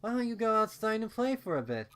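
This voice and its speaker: Man putting on a doting, raised-pitch pegasus mother. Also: big pitch swings, fast talker, a bit bright, clear, resonant tone. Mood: gentle. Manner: cooing, soothing, motherly. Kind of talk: storybook character voice.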